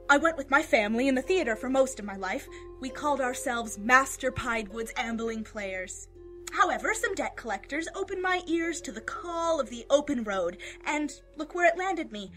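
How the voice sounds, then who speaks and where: A woman's voice: fairly high pitch, expressive, normal speed, fairly bright tone. A woman playing a cheeky, chirpy little gnome performer in a fantasy audio drama. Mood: cheeky, upbeat. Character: perky, cheeky female gnome performer, small. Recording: music under the voice.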